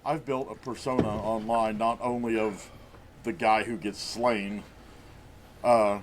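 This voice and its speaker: A man's voice: lowish voice, fairly even intonation, unhurried, slight background noise. A man in his forties, a guest streamer on a casual podcast, talking in a crude, joking, rambling way full of restarts. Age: 40s.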